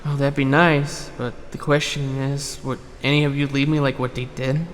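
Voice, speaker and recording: A man's voice: medium pitch, little pitch movement, normal speed, close to an everyday voice. A man playing an unsure, polite young newcomer in an audio drama, speaking in a hesitant, subdued manner. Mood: uncertain, polite. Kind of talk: scripted fan audio drama acting. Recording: reverberant hall, faint noise.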